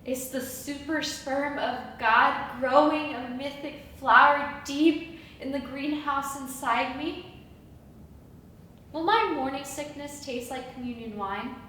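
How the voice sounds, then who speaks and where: A woman's voice: slow pace, sharp tone, exaggerated voice. A woman acting a theatrical monologue as an anguished, awestruck Bronx woman questioning an angel. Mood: awed questioning. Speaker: acting student. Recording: echoey room from far off, rumbling noise.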